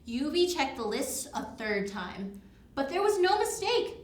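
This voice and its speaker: A woman reading a children's storybook aloud in an expressive style. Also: high-pitched, unhurried. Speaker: storybook reader. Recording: echoey room, distant mic, faint noise.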